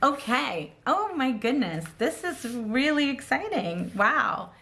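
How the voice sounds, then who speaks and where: Slightly hoarse, gushing tarot reader woman. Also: high voice, big pitch swings, takes it slowly, pushed and bright. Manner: gushing, enthusiastic, many ums and restarts. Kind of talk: casual tarot card reading to camera.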